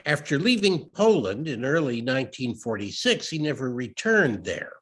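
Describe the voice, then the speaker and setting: A man giving an expressive, measured dramatic reading of a first-person literary story. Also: on the high side, husky. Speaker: reader of a short story.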